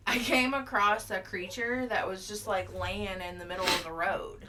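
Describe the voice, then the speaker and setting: A woman's voice: medium pitch, steady tone, moderate pace, heavy background noise. A woman casually telling her story as an eyewitness in an improvised comedy interview, insistent and matter-of-fact. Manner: insistent, matter-of-fact about absurd claims. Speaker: mock eyewitness being interviewed.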